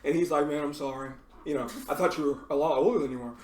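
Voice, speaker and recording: A man's voice: on the high side, steady tone, racing, darkish tone, echoey room, distant mic. A man in his twenties, a podcast host, chatting casually, laughing often and acting out people in his stories. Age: 20s. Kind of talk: casual chat.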